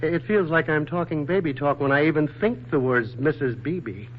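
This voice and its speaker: Casting: male actor as hungover wisecracking charmer, higher-voiced, hesitant and pleading, 1940s radio drama on a tinny recording. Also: moderate pace, a little hiss or hum, lightly acted. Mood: bemused. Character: groggy, hungover, flirtatious wisecracking man.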